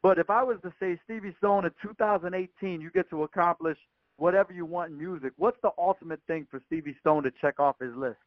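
A man with a fairly high voice hosts a radio interview in a casual, conversational style. The sound is dry and somewhat dull, as on a conference call. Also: fast talker, dry recording. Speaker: radio show host, interviewer. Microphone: phone or call line.

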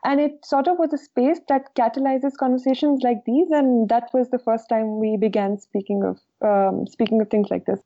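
A woman's voice: fairly high pitch, fairly even intonation, moderate pace, few pauses. A woman from Kashmir, answering interview questions in an articulate, reflective way with frequent fillers. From Kashmir, India. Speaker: newsletter co-founder, guest. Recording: dull lo-fi sound.

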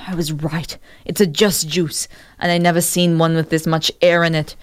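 Woman playing a melodramatic, gruff bomb squad hero. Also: low-pitched, level tone, moderate pace, pitched below the performer's own voice, natural voice. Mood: grim, tense. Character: gruff, melodramatic male bomb defuser, American action-film hero. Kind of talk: comedy sketch acting.

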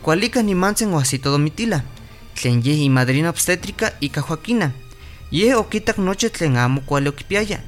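An actor playing a calm clinic doctor in a radio drama, explaining and reassuring. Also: medium pitch, moderate pace, lightly acted. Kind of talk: radio drama narration and acting. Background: music.

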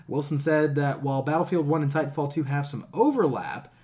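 A man's voice: on the high side, fairly quick, darkish tone. A man co-hosting a gaming podcast in casual, profane chat, with a sarcastic, incredulous manner and an easy laugh. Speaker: video game podcast co-host.

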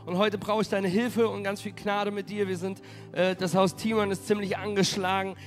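A man preaching as pastor, energetic and rallying his congregation, with a cold that makes him hoarse. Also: high-pitched, normal speed, soft background music. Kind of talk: sermon. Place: church hall.

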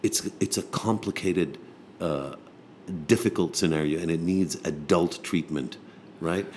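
A man with a very deep, low voice talks with passion and a sardonic edge, repeating words as he drives his point home. There is a faint hum of noise under the recording. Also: a little slow, a little mellow. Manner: impassioned, sardonic, repeats words when emphatic. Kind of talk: casual political discussion.

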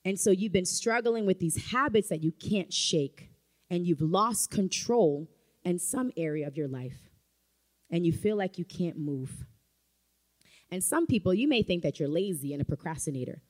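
A woman's voice: lowish voice, lively intonation, normal speed, darkish tone. A woman preaching a sermon in a church, emphatic and rising to fervent calls of amen. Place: church sanctuary.

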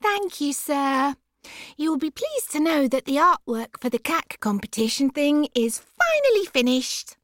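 A woman's voice: high-pitched, sing-song, unhurried, fairly bright tone, no room sound, clean studio sound, heavily acted. A woman playing a clever, cheeky schoolgirl in a children's audiobook, polite with sly sarcasm. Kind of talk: children's audiobook character acting.

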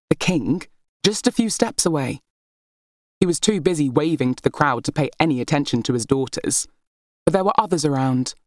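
Low-voiced woman narrating an audiobook. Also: a little mellow, no room sound, clean studio sound. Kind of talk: expressive scripted storytelling of historical fiction.

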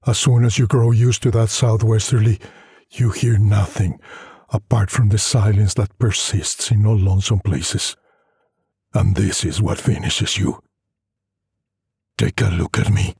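Male narrator, literary fiction. Very raspy, unhurried, deliberate, dramatic. Close, dry recording. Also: lowish voice, dark tone, no echo. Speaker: narrator, story reader.

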